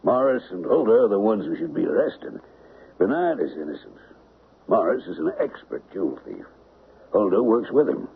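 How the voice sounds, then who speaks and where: A man playing a crafty, smooth-talking diamond merchant in a radio mystery, with measured, deliberate, courteous speech. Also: lowish voice, sing-song. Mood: smooth reassurance. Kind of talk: old-time radio mystery acting. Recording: muffled vintage radio broadcast, faint hiss.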